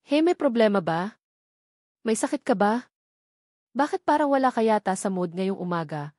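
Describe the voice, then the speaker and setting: A woman's voice: medium pitch, animated, moderate pace, a little mellow, no room sound, studio quality, natural voice. A woman voicing an affectionate, smiling young man in love in a romance audiobook. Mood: teasing concern. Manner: smiling, tender, teasing. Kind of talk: audiobook character dialogue.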